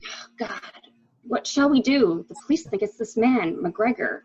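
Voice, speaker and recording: A woman's voice: fairly high pitch, lively intonation, unhurried, a little mellow, slightly rough. A woman acting a fretful, secretive wife who covers for her lover, in a dramatic mystery reading with dull sound. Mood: fearful.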